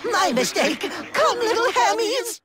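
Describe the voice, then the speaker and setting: A woman's voice: very high voice, very animated, a little slow, a crowd in the background, exaggerated voice. A woman voicing an odd, earnest, polite little chef in a video game, with clipped formal phrasing. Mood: affronted, polite. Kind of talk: video game cutscene acting.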